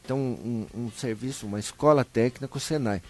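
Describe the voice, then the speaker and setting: A man with a São Paulo countryside Brazilian accent, telling stories in a colloquial, animated way as a guest in a live interview. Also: medium pitch, fairly quick. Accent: Brazilian Portuguese, São Paulo interior. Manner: animated storyteller, acts out short dialogues, colloquial. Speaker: interview guest, local historian.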